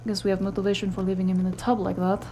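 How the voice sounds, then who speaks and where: A woman plays a tough, sardonic sleuth's partner in a noir audio drama. Her voice is mid-pitched and mellow-dark, her delivery blunt, with a faint hum behind it. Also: flat delivery, very fast, close to an everyday voice. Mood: dry, scornful. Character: tough, foul-mouthed, sardonic female cyberpunk partner in crime.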